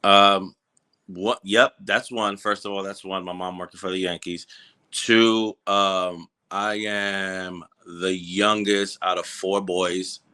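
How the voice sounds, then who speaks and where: A man's voice: sits low, level tone, slow, pushed and bright, smooth. A man with a New York accent talking loosely in an interview, with many hesitations and plenty of slang. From New York City, Washington Heights. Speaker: guest, cannabis business co-founder. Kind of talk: casual interview chat.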